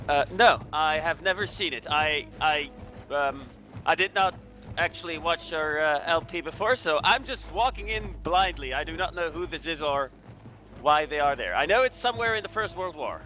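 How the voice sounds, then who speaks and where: A man from Belgium chatting casually as a guest commentator, explaining things in a halting, hesitant way with repeated words. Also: on the high side, animated, bright, forceful tone, faint music behind, rough recording.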